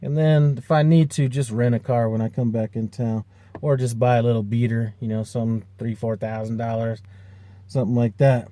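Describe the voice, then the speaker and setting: A man's voice: medium pitch, level tone, normal speed, dark tone, dry recording. A man in his fifties, a truck driver, chatting casually to camera in a rambling way with lots of fillers and restarts. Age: 50s. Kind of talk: casual vlog chat.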